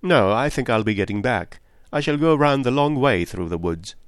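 A man voicing a reserved, earnest and slightly stiff English gentleman in an audiobook. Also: medium pitch, animated, normal speed, dry recording, studio quality, natural voice. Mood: helpful.

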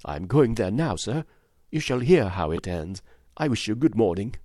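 A man's voice: lowish voice, big pitch swings, moderate pace, dark tone, no echo, clean studio sound, voice pushed up. A man reading an audiobook, voicing a nervous yet determined widow in an earnest, dignified manner. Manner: earnest, dignified, rising to indignation. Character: timid but determined widow, adult woman. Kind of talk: audiobook reading of a classic novel.

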